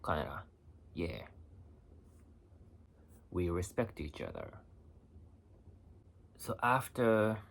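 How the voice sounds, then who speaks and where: A young Japanese man with a Japanese accent, talking casually to camera in halting English with many restarts and fillers. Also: deep voice, wildly swooping pitch, gravelly, a bit bright. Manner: halting, restarts phrases, lots of "you know". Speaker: vlogger. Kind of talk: casual vlog talk.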